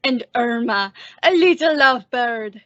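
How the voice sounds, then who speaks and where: Woman playing a high-voiced, mock-courtly old male professor. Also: a little slow, dry recording. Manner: mock-flattering, drops teasing insults. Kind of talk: old-time radio comedy acting. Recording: dull lo-fi recording.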